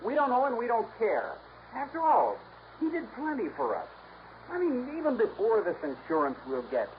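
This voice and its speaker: An American actor playing a glib, defensive, high-spirited young twin in a 1950s radio drama. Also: male voice, huge pitch swings, normal speed, heavily acted. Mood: earnest, glib. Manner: glib, protesting, insistent. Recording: dull old radio recording, faint noise.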